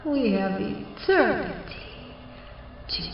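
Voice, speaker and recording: A cackling ghost host. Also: female voice, mid-range voice, lively intonation, gravelly. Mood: sinister gleeful. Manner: whispery, cackling, drawn-out menace. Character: cackling disembodied female ghost host of a train. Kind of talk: old-time radio horror acting. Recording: roomy long reverb from far off, dull with music.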